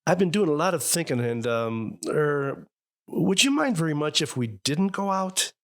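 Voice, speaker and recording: A man's voice: on the high side, sing-song, moderate pace. An actor playing a middle-aged, sentimental and easily exasperated husband and father in an old radio family comedy. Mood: hesitant, earnest. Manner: blustering, hesitant when sincere.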